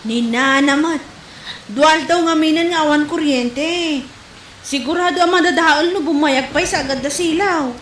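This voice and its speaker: A woman's voice: high voice, unhurried, fairly bright tone. A woman playing a stubborn, money-hungry peddler wife with an Ilocano accent in a comedy drama. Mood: nagging. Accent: Ilocano Filipino. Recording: roomy echo, clear background noise.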